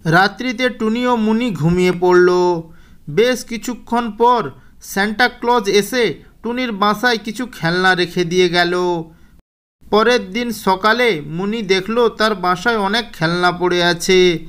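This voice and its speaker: Casting: female narrator for children's tales, smooth clear voice, middle pitch. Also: measured pace, fairly bright tone. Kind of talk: scripted children's story narration.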